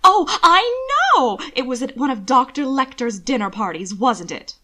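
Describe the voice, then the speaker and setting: A woman's voice: high-pitched, big pitch swings, moderate pace, pushed and bright, pitched above the performer's own voice, exaggerated voice. A woman voicing an effusive, self-important older socialite in a story, simpering with a singsong rise and fall. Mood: excited. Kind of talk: audiobook character acting.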